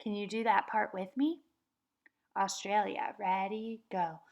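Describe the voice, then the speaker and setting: A woman teaching kindergarten, reading a nonfiction book aloud and chanting words slowly for the children to repeat. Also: mid-range voice, steady tone, vocal fry, highs cut off. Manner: slow, patient, sing-song chanting of words for children to repeat. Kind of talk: read-aloud lesson for young children.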